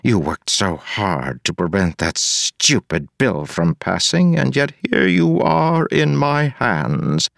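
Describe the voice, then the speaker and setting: A man voicing a smug, scheming male mayor who talks slowly and pompously, taunting his rival. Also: on the low side, very animated, gravelly, a bit bright, no room sound, clean studio sound, voice pushed up, heavily acted. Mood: gloating.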